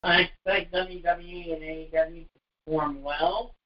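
A man's voice: fairly high pitch, fairly even intonation, moderate pace. A man in his late twenties giving short casual answers as a panelist on a show. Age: late 20s. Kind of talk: casual chat. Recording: dull lo-fi call audio.

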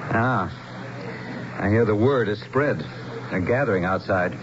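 An actor playing an earnest, troubled small-town mayor in a radio drama, with a grave, halting manner. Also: male voice, lowish voice, natural voice. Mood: reassuring. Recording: dull, noisy old radio broadcast.

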